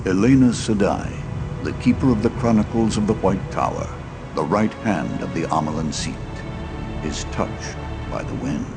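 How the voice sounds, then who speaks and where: Deep gravelly fantasy narrator. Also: male voice, expressive, normal speed. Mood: solemn. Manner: solemn, portentous. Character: solemn male storyteller of an epic fantasy legend. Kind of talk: dramatic scripted fantasy narration. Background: loud music.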